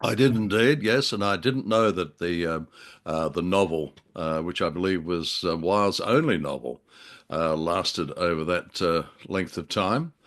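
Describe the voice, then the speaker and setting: Man, lowish voice, podcast co-host, hesitant and wordy, conversational discussion. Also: animated, a little slow, a bit bright. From Australia. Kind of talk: conversational discussion of classic radio drama.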